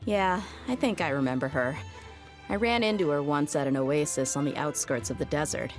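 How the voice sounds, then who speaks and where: Actress as young female game mercenary: low-pitched, blunt, loyal, offhand delivery, music underneath. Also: moderate pace, natural voice. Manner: casual, frank, offhand. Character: tough, easygoing young desert mercenary woman, loyal and blunt. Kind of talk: video game character voice lines.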